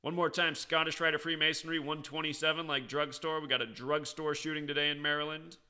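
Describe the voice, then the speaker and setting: A man's voice: on the high side, flat delivery, a little fast, sharp tone, clean tone. An American man giving unscripted online commentary in an insistent, sarcastic manner, repeating key words for emphasis. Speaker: online commentator and video host.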